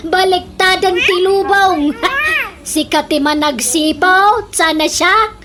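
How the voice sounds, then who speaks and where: A woman playing a sharp-tongued, scolding neighbour in a comedic radio drama, teasing and exclaiming with an Ilocano accent. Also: extremely high pitch, slow pace, background noise. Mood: mocking, exasperated.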